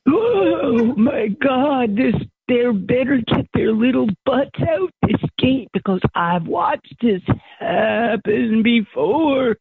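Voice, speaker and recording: A woman voicing a fretful, wriggling farm gate with a creaky voice in an improvised story. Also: fairly high pitch, lively intonation, slow pace, no echo, heavily acted. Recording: muffled phone-like audio.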